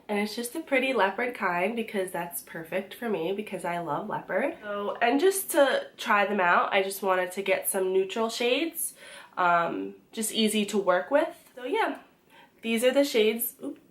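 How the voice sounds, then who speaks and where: Slightly hoarse young woman vlogging. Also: mid-range voice, lively intonation, normal speed. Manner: chatty, with frequent "um" and restarts. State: hoarse from being sick. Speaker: beauty vlogger. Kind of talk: casual product review to camera.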